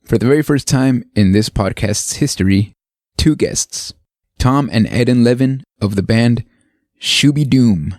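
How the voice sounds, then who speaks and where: A man's voice: medium pitch, a little slow, darkish tone, no echo. Podcast host guy, chill and chatty, dry mic. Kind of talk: podcast interview.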